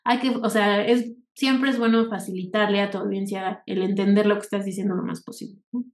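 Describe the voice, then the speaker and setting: Woman, Mexican Spanish accent, workshop instructor, mid-pitched voice, conversational explaining, rhetorical check-ins, roomy echoey recording. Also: fairly even intonation, fairly quick. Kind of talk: informal spoken lecture over slides. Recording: echoey room sound.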